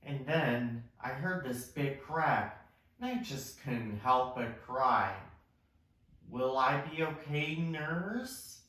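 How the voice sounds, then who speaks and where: A man's voice: medium pitch, lively intonation, takes it slowly, a bit bright. A man playing a hapless, woeful injured hospital patient in an amateur musical table read. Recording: very echoey room.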